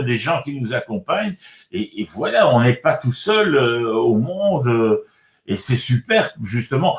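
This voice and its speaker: Older male author guest, chatty and circling, roomy recording. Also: mid-range voice. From Congo. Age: 60s. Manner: rambling, repeats words and restarts often. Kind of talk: casual spiritual conversation. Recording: roomy echo.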